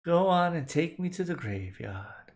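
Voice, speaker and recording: A man's voice: on the high side, big pitch swings, measured pace. A man voicing a grinning dead husband, a folksy talking skull in a told folk tale. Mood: resigned. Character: grinning dead husband, a rattling bald skull still wanting to dance.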